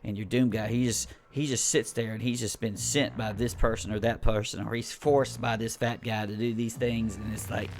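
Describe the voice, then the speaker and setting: A man's voice: fairly low pitch, fairly even intonation, normal speed, hardly any pauses, slight background noise. A man giving an unscripted, casual game review in a rambling, blunt, griping and sweary way.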